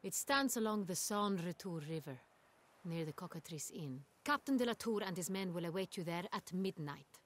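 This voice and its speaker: Regal duchess from a game, lowish voice, formal n bossy but gracious. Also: female voice, animated, normal speed. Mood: commanding. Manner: formal, poised, imperious. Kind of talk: video game cutscene dialogue.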